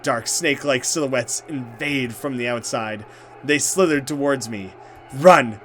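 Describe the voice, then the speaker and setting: A man's voice: mid-range voice, measured pace, fairly bright tone. A man hosting a let's play, reading horror game narration dramatically and reacting with casual commentary.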